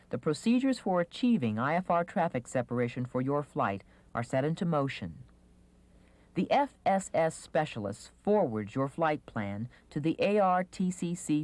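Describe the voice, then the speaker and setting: A narrator reading a scripted instructional video in a measured, clearly enunciated manner. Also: medium pitch, darkish tone, no echo.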